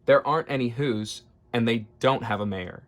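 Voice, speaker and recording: A man's voice: medium pitch, moderate pace, close to an everyday voice. Man voicing bossy, mocking jungle monkeys. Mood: scornful. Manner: loud, scornful.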